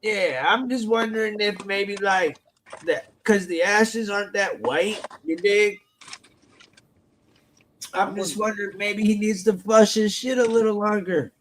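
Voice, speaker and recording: A man hosting a live online panel, talking casually with a scratchy sore throat, crude and profane with loud outbursts. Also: sits high, a little slow. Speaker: livestream host.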